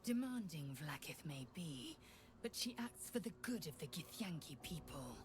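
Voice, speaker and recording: A woman's voice: low-pitched, big pitch swings, moderate pace. A woman playing a proud, scornful alien warrior who speaks formally in a video game. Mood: stern, scornful. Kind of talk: video game character acting.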